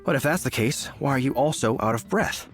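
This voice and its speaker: An actor playing an earnest, easily flustered knight in a video game, polite, wordy and hesitant. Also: male voice, medium pitch, animated, brisk, faint music behind, close to an everyday voice. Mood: indignant, suspicious.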